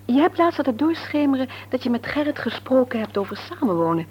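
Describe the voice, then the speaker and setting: An actress playing a sensible, frank and caring wife in a radio serial, reasoning earnestly and gently chiding. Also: female voice, high-pitched, sing-song, brisk, highs cut off. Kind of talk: Dutch radio serial drama acting. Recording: noisy old radio recording.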